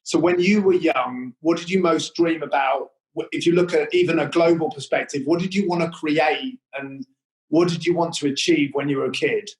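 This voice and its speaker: Guy interviewing, kinda higher pitched, lots of ums, rambly questions. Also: moderate pace, poor audio. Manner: rambling, many fillers and restarts. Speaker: interview host. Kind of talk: hesitant conversational interview.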